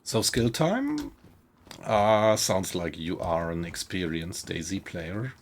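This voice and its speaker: A low, gravelly man's voice with a German accent, taking his time as he talks through a survival game live. He chats easily with his viewers and reacts to what he finds while thinking aloud. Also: very animated, slow pace, slight background noise. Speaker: game streamer. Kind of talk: live gameplay commentary, chatting with viewers.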